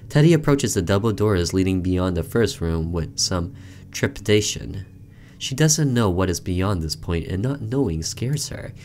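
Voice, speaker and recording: A man's voice: sits low, slight background noise. A man doing let's play commentary, reading a visual novel aloud theatrically and breaking off for offhand asides.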